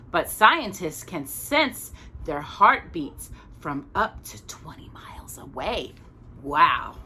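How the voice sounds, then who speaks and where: A woman reads a children's storybook aloud in a bright, forward voice. She takes her time and speaks expressively, as if sharing each page with a young listener. Her pitch sits in a middle range for a woman. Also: a little hiss or hum. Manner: expressive, with small interjected asides to a child listener. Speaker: storytime reader. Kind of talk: children's picture book read-aloud.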